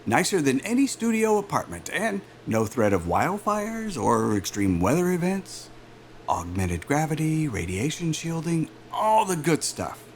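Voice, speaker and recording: A man playing a self-assured, smooth-talking aerospace executive in a naturalistic audio drama, with a polished, salesman-like manner. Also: a little high, wildly swooping pitch, measured pace, a little hiss or hum, exaggerated voice. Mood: upbeat persuading.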